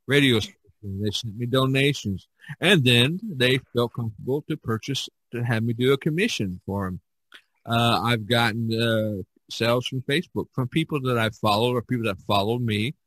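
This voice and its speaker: A man's voice: medium pitch, a bit bright, low-quality recording. A man hosting a podcast in a casual, chatty style, often chuckling as he talks. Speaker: podcast host.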